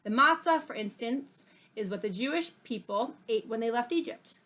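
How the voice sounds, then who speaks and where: Woman as an earnest, bright-voiced friendly puppet host. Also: fairly high pitch, lively intonation, moderate pace. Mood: explaining. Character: helpful, patient puppet friend hosting a holiday meal.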